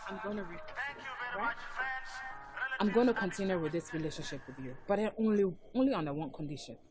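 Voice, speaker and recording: A woman's voice: sits high, big pitch swings, a little fast, over music. A woman acting a fed-up, scornful girlfriend in a melodrama, scolding emphatically. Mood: grudging. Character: fed-up, scornful girlfriend rejecting her sponging boyfriend.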